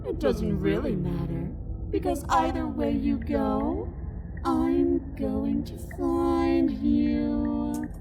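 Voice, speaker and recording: A menacing young princess from a horror game, high and wildly swooping. She draws out her taunts slowly and playfully over loud music. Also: wildly swooping pitch, full character voice. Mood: taunting menace. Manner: sing-song, teasing, drawn out. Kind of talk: visual novel game acting.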